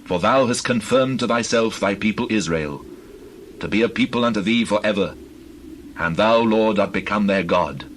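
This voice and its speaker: A man acting devout ancient king, lowish pitch, reverent declamation, dramatized Bible reading, birds and noise behind. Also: normal speed, natural voice. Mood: reverent, prayerful. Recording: clear background noise with birdsong.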